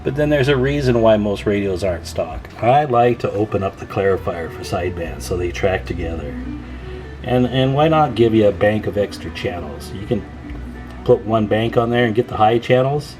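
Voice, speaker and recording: A man's voice: lowish voice, animated, moderate pace, music in the background. A man giving a casual, chatty show-and-tell as a radio repairer and video host, folksy and enthusiastic.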